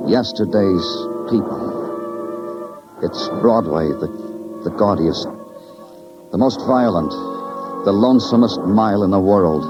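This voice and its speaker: An actor playing a weary, hard-boiled American police detective, terse and deliberate, in 1950s radio crime drama style. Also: male voice, low-pitched. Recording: muffled early 1950s radio recording, music.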